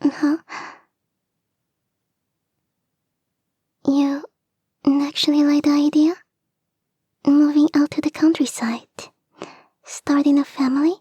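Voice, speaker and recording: A woman voicing a clingy, lovestruck young kitsune fox girl in a soft, breathy, often whispered ASMR romance roleplay, coy and gushing. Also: sits high, little pitch movement, unhurried, pauses often, no room sound. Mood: bashful, hopeful. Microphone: close microphone, dry.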